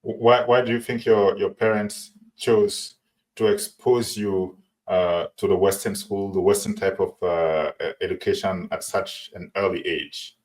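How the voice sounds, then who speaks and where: A man hosting an interview, asking long questions with frequent pauses and restarts. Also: low voice, steady tone, darkish tone, low-quality recording. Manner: halting, many fillers and restarts. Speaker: interview host.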